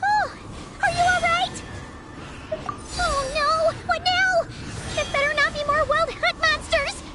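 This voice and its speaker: A woman voicing a tiny, childlike fairy companion in a video game, squeaky, excitable and naive, exclaiming about herself in the third person. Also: slow pace. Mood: alarmed. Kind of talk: English video game voice acting. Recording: game music behind the voice.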